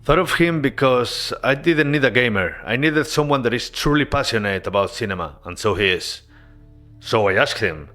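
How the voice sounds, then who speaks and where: Male developer, mid-pitched, earnest devlog narration over soft music. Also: normal speed. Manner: earnest, relaxed storytelling with casual asides. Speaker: indie game developer.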